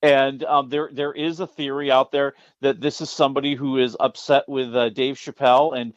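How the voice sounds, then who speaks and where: A man's voice: medium pitch, level tone, moderate pace, dry recording, highs cut off. A man giving a conversational entertainment report as a radio guest, telling stories in a chatty, emphatic way.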